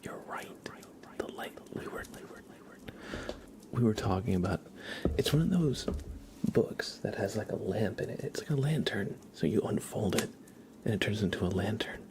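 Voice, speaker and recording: A man hosting an ASMR livestream, chatting casually in a breathy whisper and soft voice, with hesitant, bantering asides. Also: fairly low pitch, animated, normal speed.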